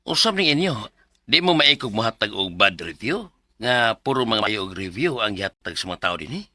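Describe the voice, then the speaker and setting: A man's voice: fairly low pitch, animated, normal speed, pushed and bright, no room sound, clean studio sound, heavily acted. An actor with a Filipino Bisaya accent playing a pushy male resort owner in a radio drama. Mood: reproachful. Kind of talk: Philippine radio drama acting.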